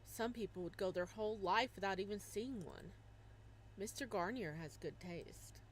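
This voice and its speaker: A woman voicing a quiet, lonely young man from a visual novel, speaking softly in her own voice. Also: high voice, normal speed, slight background noise, natural voice. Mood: wondering.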